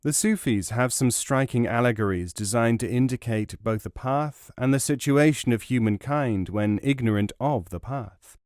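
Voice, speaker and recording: Measured male audiobook narrator, dry close sound. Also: medium pitch, a little mellow, dry recording. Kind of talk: scripted narration of an essay.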